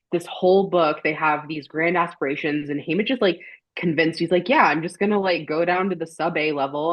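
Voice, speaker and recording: A woman talks casually as a guest on a podcast panel. Her delivery is informal and rambling, and the audio is slightly dull and lo-fi. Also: low voice. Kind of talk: casual chat.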